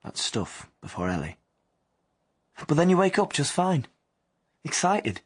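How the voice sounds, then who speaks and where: An actor playing a restless young working-class husband who narrates in an anxious, colloquial, confiding way in an audio drama. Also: male voice, fairly high pitch, sing-song, a little slow, husky, no room sound, full character voice. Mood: reflective.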